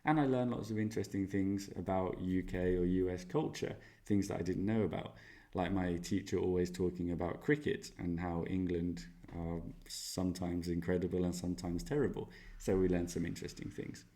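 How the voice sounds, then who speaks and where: A man's voice: low voice, steady tone, moderate pace, dark tone, close to an everyday voice. A man role-playing a cheerful, self-mocking young male student with a Spanish accent, answering interview questions in an upbeat, jokey way. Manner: upbeat, jokey, boastful asides.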